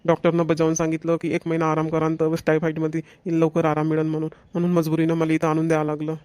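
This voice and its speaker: Cartoon son-in-law played by a man, earnest but easily slighted, high male voice, quick Vidarbha Marathi, dry sound. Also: monotone, darkish tone, smooth, dry recording, natural voice. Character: concerned, touchy son-in-law visiting his wife's family. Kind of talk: family comedy cartoon dialogue.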